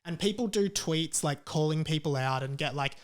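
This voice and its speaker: A man's voice: fairly high pitch, normal speed. A man with an Australian accent giving a casual opinion monologue as a podcast host, hesitant and often questioning himself as he rambles.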